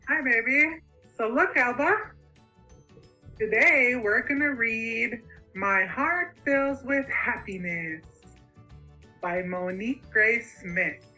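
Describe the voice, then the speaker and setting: Woman, young adult, very bright voice, unhurried teaching delivery, faint music behind. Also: on the high side, lively intonation. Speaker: student teacher presenting. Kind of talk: informal presentation and read-aloud.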